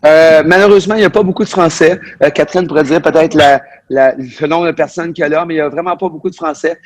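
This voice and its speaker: Un homme québécois à l'accent québécois, agent de voyage, qui répond en entrevue de façon détendue avec beaucoup d'hésitations. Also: male voice, fairly high pitch, quick pace. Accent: Québécois French. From Quebec. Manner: relaxed, with frequent fillers. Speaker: travel agent and guest. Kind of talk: casual interview.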